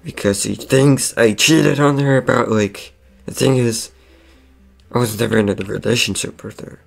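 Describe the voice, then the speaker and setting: A man's voice: mid-range voice, moderate pace, vocal fry. A man voicing a bored, pleading animatronic pirate fox in a cartoon skit, with hesitant delivery. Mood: glum.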